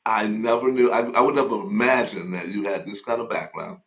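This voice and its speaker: A man's voice: fairly low pitch, brisk, gravelly. A man co-hosting a podcast, cheering on his guest and chatting with her in an eager, friendly way. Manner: enthusiastic, cheers and hypes the guest. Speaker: podcast co-host. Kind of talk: casual interview.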